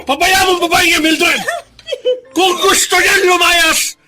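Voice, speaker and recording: A man's voice: very high-pitched, steady tone, a little slow, raised voice, background noise. A man playing a harsh, hot-tempered father in a radio drama, accusing and scornful. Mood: furious.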